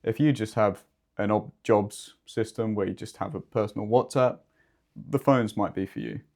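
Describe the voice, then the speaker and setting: A man with a fairly low voice talks as the expert co-host of a business video. His delivery is conversational and explanatory, with measured, somewhat wordy phrasing. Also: a little mellow. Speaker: podcast co-host and two-way radio expert.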